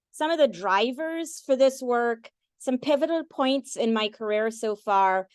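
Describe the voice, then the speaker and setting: A woman's voice: medium pitch, clear, resonant tone, dry recording, clean studio sound. Middle-aged woman giving an engineering talk. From Guyana. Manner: conversational, with frequent fillers and restarts. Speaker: engineering professor giving a lecture. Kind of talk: academic talk with slides.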